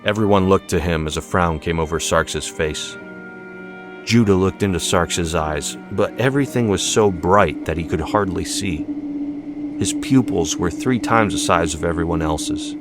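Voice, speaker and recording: Male audiobook narrator, low voice, scripted dramatic storytelling with clear musical underscore. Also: measured pace. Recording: music behind the voice. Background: background music.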